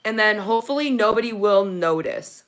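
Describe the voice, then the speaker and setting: A woman hosting a casual livestream from her phone, reading viewer comments, her voice going raspy from talking so long. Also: medium pitch, normal speed. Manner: chatty, excited when thanking viewers for gifts. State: losing her voice, getting raspy. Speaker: livestream host.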